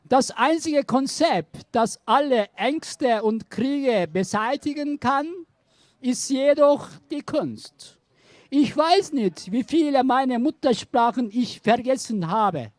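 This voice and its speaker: A woman slowly reciting a poetic art manifesto in a declamatory, repetitive way. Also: sits high, expressive, dry recording.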